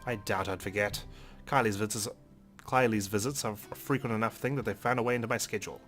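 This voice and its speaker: Guy reading a visual novel out loud, lowish voice, dry theatrical narration. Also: quick pace, over music. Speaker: let's play host.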